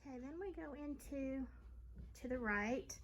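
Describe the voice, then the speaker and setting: A woman giving a casual vlog house tour, talking in a halting, gushing, conversational way. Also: sits high, lo-fi sound, a little hiss or hum.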